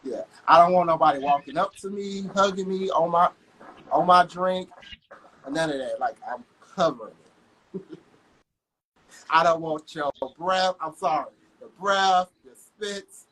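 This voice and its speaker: A man's voice: a little high, a little slow, pushed and bright, low-quality recording. A man co-hosting a podcast, talking bluntly and emphatically in casual chat, with some joking. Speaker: podcast co-host.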